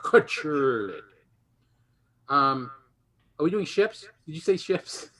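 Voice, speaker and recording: Bright, high-voiced man hosting a game show. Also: sing-song, slow.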